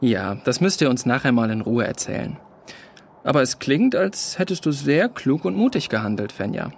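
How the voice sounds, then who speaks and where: Caring dad, mid-pitched, measured, children's radio play, noisy ambience behind. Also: male voice, sing-song, gravelly. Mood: proud. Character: proud, gentle but serious father. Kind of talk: children's audio drama acting.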